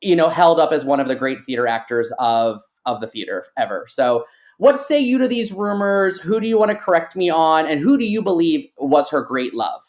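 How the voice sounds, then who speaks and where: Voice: mid-range voice, very animated, normal speed, a little mellow. A podcast host putting questions to a guest in a casual interview style.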